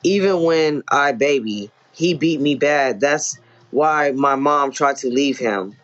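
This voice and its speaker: A man's voice: medium pitch, takes it slowly, fairly bright tone. Man playing shy little boy, muffled recording. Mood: shy, hurt. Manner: childlike broken grammar. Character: abused little boy Timmy, innocent, shy, affectionate.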